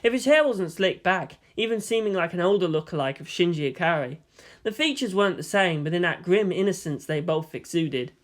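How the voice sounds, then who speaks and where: Audiobook-style narrator, mid-pitched voice. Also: lively intonation, brisk. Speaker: story narrator. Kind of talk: dramatised fan fiction reading.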